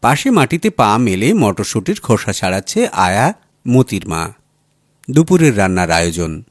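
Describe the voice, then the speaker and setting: Male narrator for literary fiction audiobook; expressive, swinging intonation, close dry sound with light music. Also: mid-range voice, dry recording. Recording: music under the voice. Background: background music.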